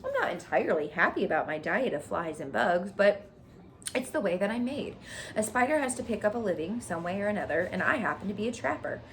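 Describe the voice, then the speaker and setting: A woman reader plays a bloodthirsty lady spider from a storybook. The voice is thin and pleasant, with lively swoops as she explains herself frankly and breezily. There is clear noise on the recording. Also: medium pitch, a little fast. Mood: frank, breezy. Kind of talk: children's storybook read aloud.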